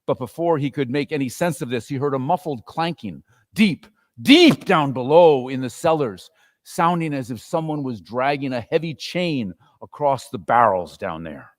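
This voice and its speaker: A man gives a theatrical reading of a classic tale to a room. His mid-pitched voice swings widely and expressively, carried by the long reverb of a hall. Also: animated, normal speed. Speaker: narrator and performer. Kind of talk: dramatic reading of a classic story.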